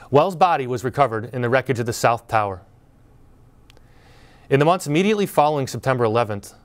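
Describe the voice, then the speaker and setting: Measured man reading an earnest speech. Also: medium pitch. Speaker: school speaker at graduation. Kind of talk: prepared commencement speech. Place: indoor studio before green backdrop.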